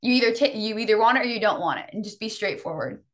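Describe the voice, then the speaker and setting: A woman's voice: a little high, expressive, fast talker, fairly bright tone. A woman in her twenties, a guest on a podcast, chatting casually in a laid-back, frank way. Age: 20s.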